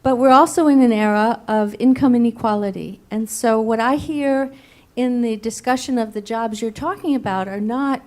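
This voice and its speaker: A woman's voice: fairly high pitch, moderate pace, a little mellow. Woman asking from the audience. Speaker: audience member asking a question. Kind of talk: audience question at a panel.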